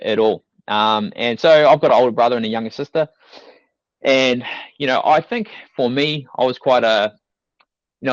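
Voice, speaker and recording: A young man with a New Zealand accent talks through his background in a loose, rambling way, full of "like" and "you know". His voice sits low. The sound is dry and a little dull, with no room echo. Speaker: guest, fitness coach. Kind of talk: casual podcast interview.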